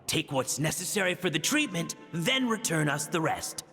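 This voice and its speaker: A proud, indignant warrior in a fantasy game. His high voice leaps about and is gravelly at the edges, emphatic and accusing. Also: big pitch swings, moderate pace, bright, forceful tone, in a large hall, faint music behind, full character voice. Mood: outraged. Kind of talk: dramatic game dialogue acting.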